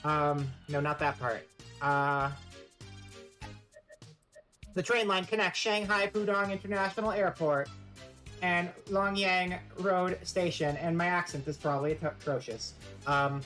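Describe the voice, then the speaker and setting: A woman's voice: lowish voice, a little slow, pauses often, a bit bright, faint music behind. A woman streamer giving a casual live-stream presentation, rambling and joking in a playfully mock-serious way.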